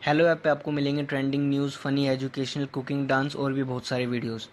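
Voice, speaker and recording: A man's voice: mid-range voice, flat delivery, fast, slight background noise. A man presenting a scripted promotional spot, addressing the viewers directly. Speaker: advertising presenter.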